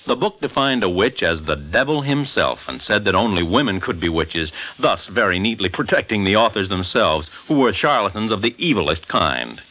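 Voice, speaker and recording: A 1940s radio broadcast of an American man narrating like a newsreel commentator. His voice is lowish and forceful, with sweeping, dramatic intonation and polished emphasis. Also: sing-song, moderate pace, few pauses, rough recording.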